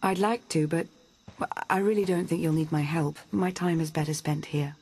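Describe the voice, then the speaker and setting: A woman playing a calm, studious Jedi ally in a video game, soft-spoken and reserved. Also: low-pitched, normal speed, slightly rough. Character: calm, studious Jedi mentor declining adventure. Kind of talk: video game cutscene acting.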